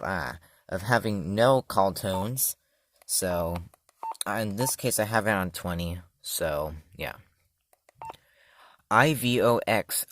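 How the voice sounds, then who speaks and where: A man's voice: fairly low pitch, a little hiss or hum. A man casually demoing a product, talking loosely with many fillers and self-corrections. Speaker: product reviewer. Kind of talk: casual hands-on demo.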